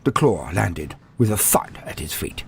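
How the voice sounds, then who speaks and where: Deep-voiced man narrating over music. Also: lively intonation, measured pace, vocal fry. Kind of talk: scripted dramatic lore narration.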